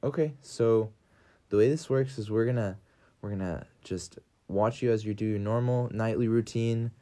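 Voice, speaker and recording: Male co-host, lower register, halting and giggly delivery in casual comedy banter. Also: warm tone. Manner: hesitant, filler-heavy, giggly. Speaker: podcast co-host. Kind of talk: comedy sketch and casual podcast chat.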